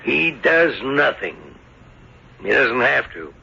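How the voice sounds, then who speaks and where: Grumbling rustic farmer, gravelly, sharp-edged, husky, gossipy, muffled vintage radio play. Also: male voice, mid-range voice, very animated, slow, exaggerated voice. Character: folksy, grumbling male farmer, father of grown son. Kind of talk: old-time radio drama acting. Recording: dull, muffled old radio recording.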